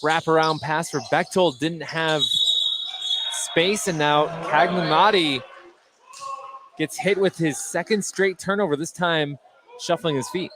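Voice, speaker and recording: Higher-voiced man calling basketball over gym noise. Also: steady tone, slow pace. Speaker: play-by-play sports commentator. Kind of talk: live basketball radio commentary. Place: at courtside in an indoor gymnasium. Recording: live game broadcast over loud gym noise.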